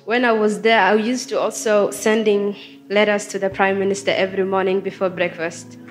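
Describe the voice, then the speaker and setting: A young woman from Somalia recounts her life in a relaxed, conversational way, leaning on fillers as she talks. Her voice sits at an ordinary middle pitch. Faint music plays under her words. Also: moderate pace. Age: young adult. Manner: casual, many fillers like "like" and "you know". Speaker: interviewee. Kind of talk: personal storytelling interview. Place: studio.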